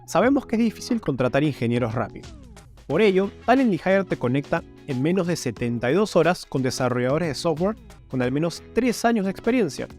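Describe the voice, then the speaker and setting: A man's voice: fairly high pitch, sing-song, brisk, darkish tone, soft background music. A man hosting an interview podcast, presenting and asking questions. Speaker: podcast host. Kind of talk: scripted narration and podcast interviewing.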